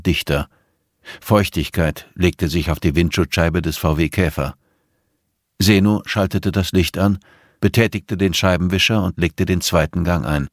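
A man's voice: low-pitched, no echo, clean studio sound. A man reading scripted prose as the narrator of an audiobook.

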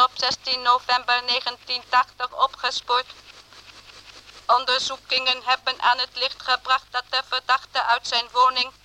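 An actress playing an impersonal clerk in a radio drama, reading out official messages in a clipped, formal monotone. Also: female voice, high-pitched, a little hiss or hum, full character voice, rough recording. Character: impersonal woman clerk reading out official police telegrams and memos. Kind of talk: radio drama, flat bureaucratic read-out.

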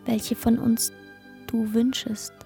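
Slow, mellow, half-whispering maiden. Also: female voice, on the high side, flat delivery, vocal fry, faint music behind. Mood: dreamy, seductive.

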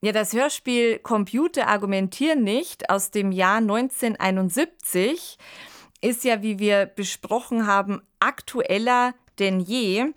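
A woman's voice: mid-range voice, a little slow, smooth. A woman hosting a podcast with a scripted presenting style. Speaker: podcast host.

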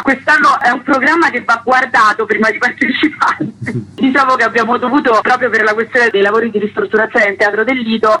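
A woman festival curator giving a radio interview, explaining a programme as a guest with a husky voice. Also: a little high, bright, forceful tone, slight background noise, rough recording.

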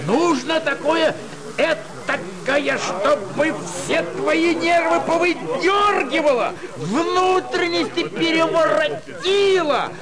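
A jaded, pompous theatregoer in a radio monologue. The voice is extremely high and slow, theatrical, with a very loud crowd behind. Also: male voice, full character voice, rough recording. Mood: disdainful, emphatic. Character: jaded theatregoer demanding nerve-shredding effect, pompous.

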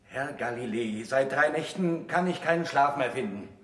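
A man's voice: mid-range voice, expressive, a bit bright, natural voice. Man acting an earnest young monk, echoey room. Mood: earnest, restrained. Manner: formal, deliberate, rhetorical delivery. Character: earnest, troubled young monk of peasant origin. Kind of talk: German stage-like radio play acting. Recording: echoey room, old radio play.

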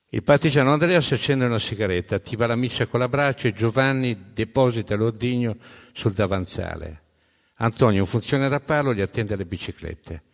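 A man narrating history as dramatic storytelling, in emphatic, measured phrases with pauses. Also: fairly low pitch, vocal fry. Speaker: narrator of a storytelling stage show. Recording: long hall reverb.

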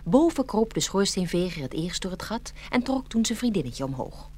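A woman's voice: medium pitch, sing-song, normal speed, warm tone, slight background noise. A woman narrating a scripted fairy tale expressively, as a storyteller.

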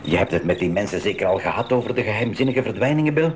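A man's voice: on the low side, lively intonation, quick pace, slight background noise, natural voice. A man acting an eager, inquisitive expedition member in a 1980s school radio drama, speaking conversationally. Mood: curious.

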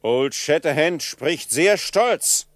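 An actor playing a proud, cunning middle-aged chief in a radio drama, speaking solemnly and with haughty formality. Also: male voice, fairly high pitch, expressive, slow, dry recording, studio quality. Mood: proud, scornful. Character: proud, cunning Comanche chief of about fifty. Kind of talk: German radio drama acting.

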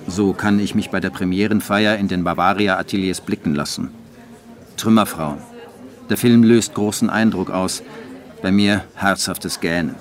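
Low, wry writer-narrator. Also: male voice, steady tone, moderate pace. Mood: dry, guilty. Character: wry, detached male writer narrating his postwar diary. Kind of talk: radio drama narration.

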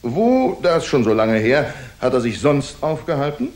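An actor in a German radio drama playing a pompous, suspicious commandant who questions in a haughty, probing way. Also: male voice, medium pitch, very animated, unhurried, a little mellow. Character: suspicious, pompous military commandant interrogating a soldier.

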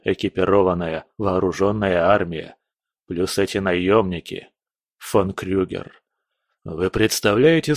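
A man voicing a calm, deep-voiced old general. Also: dry recording, studio quality. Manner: calm, measured, grave. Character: calm stern older male general.

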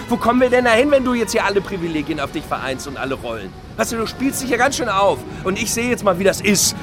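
High-voiced, aggrieved middle-aged man. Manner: agitated, stammering, self-pitying, rhetorical questioning. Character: aggrieved middle-aged man whining over lost privileges. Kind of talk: theatrical stage monologue.

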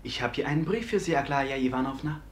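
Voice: mid-range voice, animated, fast, lightly acted. A performer playing an eager, polite but defensive teenage errand boy in a German radio drama. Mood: eager, secretive.

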